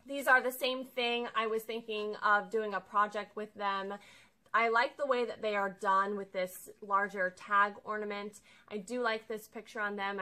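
A woman's voice: medium pitch, sharp tone. A young woman vlogging casually in her living room, chatting upbeat as she explains each item of a shopping haul to the camera. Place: living room with a sofa, indoors.

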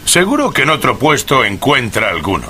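An actor playing a blunt, stubborn, irritable poultry stallholder in a period mystery drama, gruff and combative in manner. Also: male voice, medium pitch, huge pitch swings, brisk, pushed and bright, a little hiss or hum. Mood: curt.

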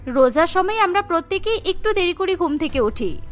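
A woman voicing a gentle young daughter-in-law who explains patiently and apologetically in a cartoon story. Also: very high-pitched, lively intonation, fairly quick, soft background music. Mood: apologetic, patient.

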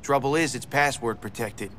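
A man playing a confident, resourceful young American street racer in a video game, with brisk, casual delivery. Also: medium pitch, sharp tone, natural voice. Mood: casual, purposeful. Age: young adult. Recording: vehicle noise behind.

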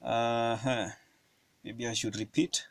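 A man giving a hands-on electronics tutorial at a table, explaining each step slowly with frequent fillers. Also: medium pitch, steady tone, no room sound. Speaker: electronics hobbyist demonstrating a circuit. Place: indoors at a wooden table.

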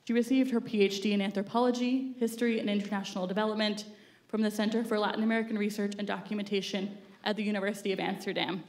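A woman's voice: mid-range voice, flat delivery, quick pace, a little hiss or hum. A woman at a podium reading formal speaker introductions on a theater stage. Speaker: event host introducing speakers. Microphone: podium mic. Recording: roomy theater sound.

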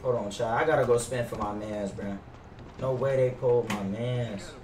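A man's voice: medium pitch, fairly even intonation, normal speed, a crowd in the background. A young American man streaming a roleplay game in slangy, pleading, dramatic banter, speaking into a headset in a small room. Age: young adult. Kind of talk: live game-stream commentary and in-character banter. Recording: roomy, distant voice with clear background noise.

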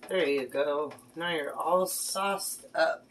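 Low, slow-talking mom in a kitchen. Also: female voice, slight background noise. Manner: encouraging, praises her daughter often. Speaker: mom cooking with her daughter. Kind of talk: casual cooking vlog chat. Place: home kitchen. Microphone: phone camera on the counter. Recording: clear clatter of dishes and pans.

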